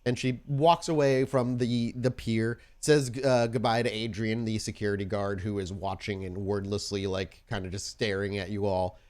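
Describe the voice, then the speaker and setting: A man narrating an improvised tabletop game as game master, descriptive and halting as he goes. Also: on the low side, level tone, darkish tone.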